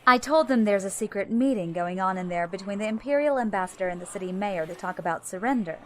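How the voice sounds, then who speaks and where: A woman's voice: medium pitch, expressive, fast, darkish tone, slight background noise, close to an everyday voice. A woman playing a cool, wry, cunning rebel captain in an audio drama, matter-of-fact in delivery. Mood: wry, smug.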